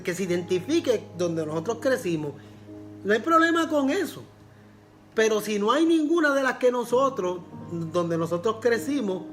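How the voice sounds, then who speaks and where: A man's voice: sits high, fairly bright tone. A man with a Puerto Rican accent, preaching a sermon as pastor and repeating phrases for emphasis. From Puerto Rico.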